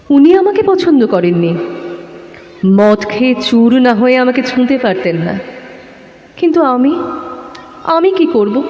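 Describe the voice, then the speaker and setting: A woman's voice: sits high, a little mellow. An actress playing a tormented married woman making an emotional confession in a Bengali audio drama. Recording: dull, echoey hall sound, long reverb.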